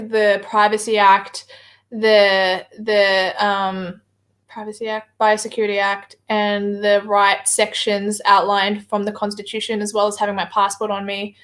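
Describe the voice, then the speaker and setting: A woman's voice: medium pitch, fairly bright tone. A woman with an Australian accent talks casually on a livestream, hesitating often with filler words as she answers viewer comments. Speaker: livestream guest reading viewer chat.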